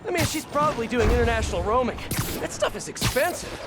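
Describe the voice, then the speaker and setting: High-pitched, earnest young American superhero. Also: male voice, big pitch swings, quick pace, over loud music. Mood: wry, rambling. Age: young adult. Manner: earnest, chatty, wry. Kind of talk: video game voice acting.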